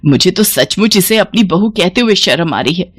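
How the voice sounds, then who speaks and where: A woman voicing an older, emotional mother-in-law in an animated moral story, motherly and emphatic. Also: on the low side, normal speed, slightly rough, dry recording, clean studio sound. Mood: angry, indignant.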